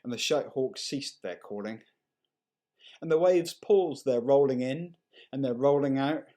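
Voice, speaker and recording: A man reading a short story aloud in an unhurried, measured and expressive storytelling manner. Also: mid-range voice. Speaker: story reader. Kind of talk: dramatic short story narration.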